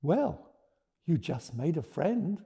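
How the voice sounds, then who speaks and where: A man voicing a small, gentle, resolute male dragon from a storybook in measured, emphatic phrases. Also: fairly high pitch, sing-song, gravelly, breathy edge, full character voice. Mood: kindly.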